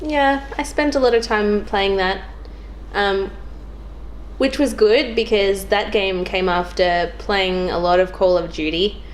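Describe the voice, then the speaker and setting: A woman's voice: mid-range voice, measured pace, a little hiss or hum. A young woman chats casually as a podcast guest, thinking aloud in an informal, hesitant way. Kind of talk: casual chat.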